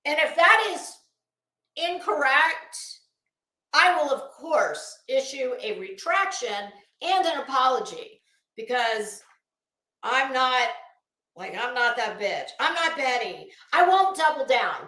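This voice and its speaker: Female livestream host: high, bright voice, unhurried delivery, scornful and sarcastic, casual commentary straight to viewers, echoey room. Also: big pitch swings. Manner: blunt, sarcastic, scornful, swearing freely.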